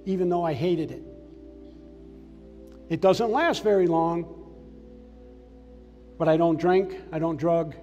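Older man, preacher, earnest personal testimony, mellow tone, reverberant sanctuary. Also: on the high side. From Massachusetts. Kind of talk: sermon. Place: large church sanctuary stage. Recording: long hall reverb, soft music behind.

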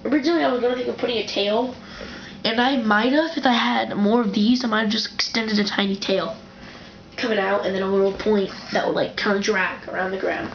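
Excitable woman reviewer, rambling and self-correcting, recorded on a camera mic in a roomy, echoing home room. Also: mid-range voice, fairly quick. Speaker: toy reviewer. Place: indoor room with a wooden floor, off camera. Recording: dull, echoey home camera recording with faint hiss.

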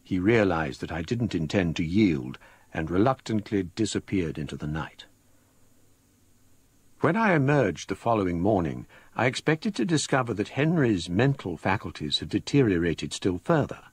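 Gentleman narrator, lively low voice, dry close sound, measured, literary. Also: husky, dry recording, exaggerated voice. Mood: composed. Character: composed gentleman recounting his ghostly tale. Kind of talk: scripted first-person drama narration.

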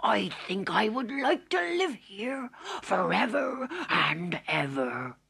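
Lady doing little old storybook man, croaky n swoopy, slow, gentle and full of wonder. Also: medium pitch, very animated, exaggerated voice. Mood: wistful, contented.

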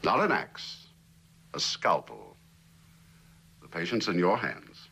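An actor playing a stern, deliberate middle-aged company boss in a 1960s television drama. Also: male voice, sits low, sing-song, creaky, pushed and bright, slightly rough, full character voice.

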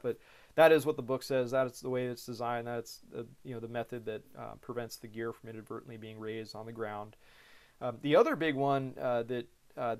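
A man in his thirties from the United States explains cockpit controls in a relaxed, rambling way, full of fillers and repeated words. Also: mid-range voice. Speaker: pilot instructor. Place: small room indoors.

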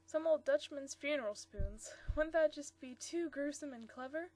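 A woman's voice: sits high, expressive, moderate pace. A woman reading the part of a playful, eager young woman who gushes and teases. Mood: gushing. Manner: gushing, bubbly.

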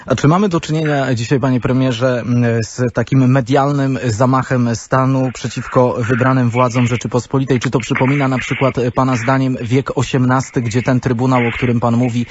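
A man's voice: mid-range voice, fairly even intonation, moderate pace, hardly any pauses. Formal male interviewer, dull audio. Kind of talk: radio interview questions. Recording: dull radio audio with faint hiss.

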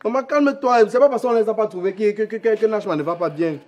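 Actor as worried young cameroonian son, high voice for a guy, trying to calm things, family drama. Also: fast. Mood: anxious, soothing. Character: young son anxiously soothing his distraught mother.

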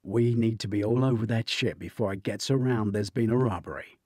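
A man voicing a brisk, commanding male special-ops leader in a thriller audiobook, terse and authoritative. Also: on the low side, darkish tone, no room sound, studio quality, natural voice. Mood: urgent. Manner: terse, authoritative, matter-of-fact.

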